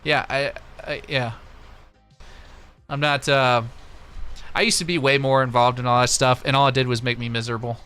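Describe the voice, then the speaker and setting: A man's voice: medium pitch, steady tone, moderate pace, pauses often, sharp tone, smooth, faint music behind. An American man from Missouri hosting a livestream, chatting casually and giving his opinions as he answers viewers on a close desktop microphone. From Missouri, United States. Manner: conversational, opinionated. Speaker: livestream host. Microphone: close desktop USB microphone.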